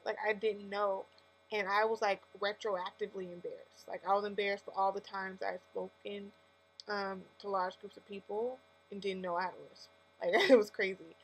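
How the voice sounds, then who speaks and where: A woman's voice: medium pitch, normal speed, husky, rough recording. A woman with a lisp gives a casual, candid personal testimony to camera, speaking emphatically and correcting herself as she goes. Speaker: vlogger with a faith-based message. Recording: steady mains hum and background noise.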